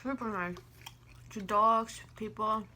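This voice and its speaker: A woman's voice: medium pitch, slow, sharp tone, slight background noise. A woman co-hosting a mukbang vlog, chatting informally and teasingly while she eats. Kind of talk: casual chat while eating.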